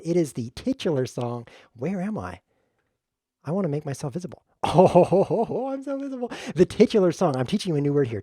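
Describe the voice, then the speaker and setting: Man, chess stream host, slightly hoarse, playful, lively pitch, warm dark tone. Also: dry recording, studio quality. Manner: playful, jokey, self-correcting. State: losing his voice. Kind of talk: casual live-stream chat.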